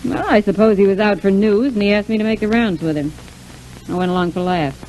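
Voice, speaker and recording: A woman's voice: mid-range voice, fairly quick, darkish tone, soft background music, lightly acted. An actress playing a brash, defensive, scheming young showgirl in a 1940s radio serial. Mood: brazen, defensive. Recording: noisy old 1940s radio recording.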